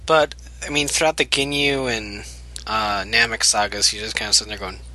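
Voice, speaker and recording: A man's voice: fairly low pitch, fairly even intonation, normal speed, sharp tone, low-quality recording. A young man in casual podcast chat, chatty, with lots of fillers and frequent laughter.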